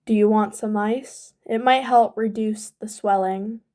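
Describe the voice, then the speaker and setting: A woman playing a weary male teacher who talks gently but firmly. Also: medium pitch, steady tone, unhurried, lightly acted. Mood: gentle, concerned.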